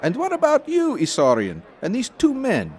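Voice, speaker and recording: A fussy, pompous colonial magistrate in an audio drama. His pitch swings extremely widely as he delivers officialdom with theatrical exasperation. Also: huge pitch swings, normal speed, exaggerated voice. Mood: weary, relenting.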